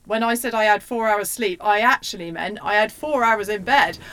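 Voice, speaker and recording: A woman's voice: medium pitch, normal speed, a bit bright, close to an everyday voice. A woman playing a weary, competitive ambulance worker in a comedy sketch. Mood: weary, one-upping.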